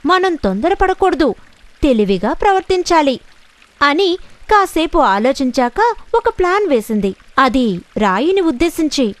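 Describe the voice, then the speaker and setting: A woman narrating a scripted children's story as an expressive storyteller. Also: sits high, unhurried, long pauses, no echo.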